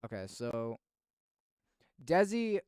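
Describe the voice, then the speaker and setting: A man with a smooth, clear, average-pitched voice gives casual livestream commentary. He is expressive and thinks aloud. The sound is dry and close. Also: slow, no room sound. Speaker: streamer reacting to videos.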